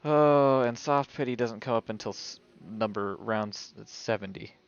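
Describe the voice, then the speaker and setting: A man's voice: mid-range voice, normal speed, clear, resonant tone, no room sound, muffled recording. A man streaming a video game, giving casual live commentary and thinking aloud as if talking to himself. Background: video game music.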